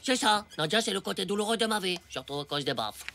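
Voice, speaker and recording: A woman voicing a cheeky, excitable ten-year-old schoolboy in a French cartoon, with slangy, mouthy delivery. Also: mid-range voice, quick pace, creaky, slight background noise. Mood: insistent. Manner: slangy, mouthy, whiny outbursts. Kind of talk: French animated cartoon acting.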